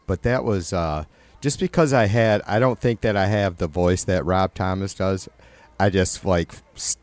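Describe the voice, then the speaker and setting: A middle-aged man with a nasal, raspy voice, hosting a livestream and chatting loosely with viewers, rambling and swearing. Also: sits low, darkish tone, no room sound. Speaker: livestream host. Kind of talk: casual chat with live viewers.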